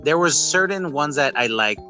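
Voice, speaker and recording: A man in a casual, rambling podcast-style chat reviewing a film, with animated retellings. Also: fairly high pitch, normal speed, soft background music. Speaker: podcast host.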